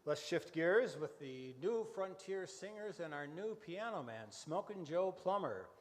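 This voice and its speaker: A man's voice: high-pitched, animated, unhurried. A man playing a genial, orderly host of a live radio variety show. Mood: genial, steering. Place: large church hall, live stage show. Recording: reverberant hall recording.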